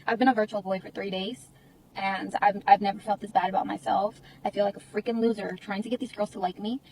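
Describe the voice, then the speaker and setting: A woman's voice: medium pitch, fairly even intonation, quick pace, vocal fry, a little mellow, slight background noise, low-quality recording. A woman ranting in a casual vlog, exasperated and complaining.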